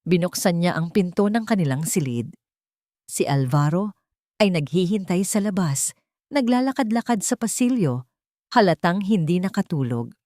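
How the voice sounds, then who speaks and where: Filipino woman narrating, lowish voice, dry sound. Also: expressive, normal speed, warm tone, no room sound. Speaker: storyteller. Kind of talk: dramatic scripted narration of a romance story.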